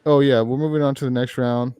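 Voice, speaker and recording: A man co-hosting a livestream over a video call, reading viewer comments in a flat, dry way. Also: medium pitch, quick pace, clean tone. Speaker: livestream co-host. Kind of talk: casual chat, reading comments.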